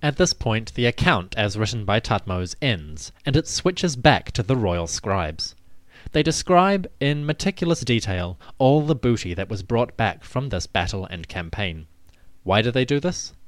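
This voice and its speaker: A man hosting and narrating a scripted history piece, with measured, emphatic storytelling. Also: on the low side, big pitch swings, dry recording. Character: commanding victorious pharaoh addressing his army. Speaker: podcast host and narrator.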